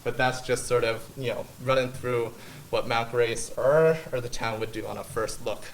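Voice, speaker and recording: A man's voice: mid-range voice, fairly even intonation, normal speed, a little hiss or hum. Hesitant man, distant and echoey. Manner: hesitant, filler-heavy. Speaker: land conservation representative. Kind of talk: public panel talk. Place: library meeting room. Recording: echoey room, far from mic.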